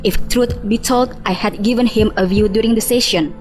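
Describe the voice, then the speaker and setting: A woman's voice: fairly high pitch, normal speed, darkish tone, faint music behind, close to an everyday voice. A woman playing an offended junior employee giving hesitant, informal testimony in a radio drama. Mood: offended, defensive.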